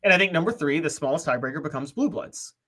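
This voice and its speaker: Bright opinionated man in his thirties. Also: a little high, lively intonation, fast. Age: 30s. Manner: opinionated, analytical, explains at length. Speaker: sports talk co-host. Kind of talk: casual sports talk.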